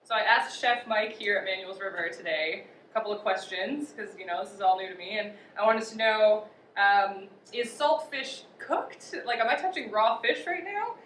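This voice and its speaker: A young woman hosting a casual outdoor cooking demo, chatty and quick to laugh. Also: medium pitch, a bit bright. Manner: chatty, laughs often, upbeat. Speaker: outdoor cooking show host. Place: outdoor cooking spot at a river park. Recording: echoey, distant, faint noise.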